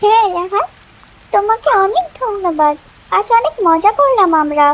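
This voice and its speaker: An actor voicing an excitable, playful young village child in a children's cartoon story. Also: very high-pitched, moderate pace, heavily acted. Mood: cheerful.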